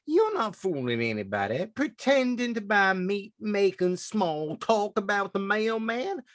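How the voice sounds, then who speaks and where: Man acting a slow, smug lovestruck butcher. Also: high-pitched, vocal fry, dry recording, clean studio sound, full character voice. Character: smug lovestruck male butcher, flirtatious and wisecracking. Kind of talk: old-time radio comedy acting.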